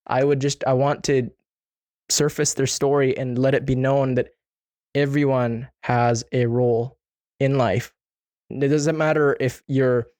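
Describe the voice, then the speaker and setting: A man's voice: medium pitch, a little mellow, dry recording. A male podcast host with a mid-range voice, talking earnestly and encouragingly to his guest. The recording is dry and close, with no room sound. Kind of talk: casual conversation.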